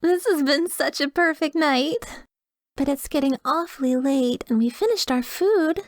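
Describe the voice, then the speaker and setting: A woman's voice: high voice, unhurried, no room sound, studio quality, voice pushed up. A woman acting a gushing, flirtatious date in an ASMR roleplay, with sweet, exaggerated delight.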